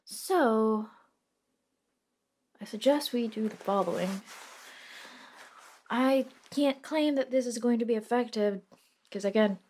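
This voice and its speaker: A woman playing a reluctant, sardonic modern witch in a soft-spoken ASMR roleplay, talking casually and hesitantly with dry wit and mild swearing. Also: a little high, slow, long pauses, a little hiss or hum. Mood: pensive, hesitant.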